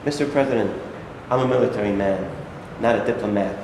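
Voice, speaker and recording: A man's voice: lowish voice, brisk, lightly acted. A man in a staged reading playing a formal, resolute army general, deferential but emphatic. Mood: firm. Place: live reading in a large echoing room. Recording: very echoey, noisy hall.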